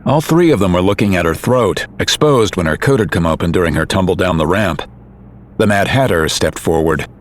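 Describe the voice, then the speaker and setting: A man giving steady, measured scripted narration for an audio drama. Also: sits low, slight background noise. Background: music.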